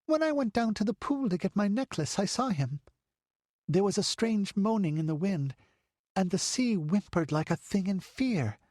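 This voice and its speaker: A man's voice: high voice, expressive, moderate pace, a little mellow, no echo, clean studio sound, pitched above the performer's own voice. A man voicing a frightened little girl who talks breathlessly and pleads.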